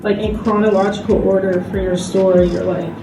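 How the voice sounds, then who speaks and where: Female convention panelist, mid-pitched, informal and filler-heavy delivery, recorded at a distance in a reverberant room. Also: little pitch movement, fairly quick. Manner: hesitant, conversational, frequent "like" as filler. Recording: echoey room from far off, music behind.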